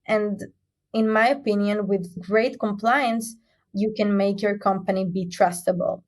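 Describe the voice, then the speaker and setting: Female expert guest, native Spanish speaker from Chile, medium pitch, explains and restates points in interview answers. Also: steady tone, a little slow. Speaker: guest, head of information security.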